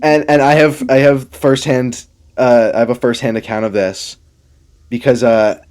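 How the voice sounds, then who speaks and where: A man's voice: mid-range voice, steady tone, normal speed, darkish tone. A young adult man chatting casually and enthusiastically in a rambling way, as a gaming host commentating with friends. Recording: background music under the voice.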